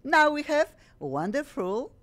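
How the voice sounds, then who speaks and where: A woman's voice: high voice, big pitch swings, measured pace, fairly bright tone. A woman from Poland with a Polish accent, hosting a radio talk show in bubbly, gushing, loosely worded English. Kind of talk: casual studio talk show.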